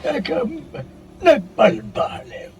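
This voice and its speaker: A man's voice: very high voice, lively intonation, very slow, over music, heavily acted. A man with a Filipino Ilocano accent playing a cantankerous, scolding old man in a radio comedy drama. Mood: lecturing. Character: cantankerous old man threatening with his cane.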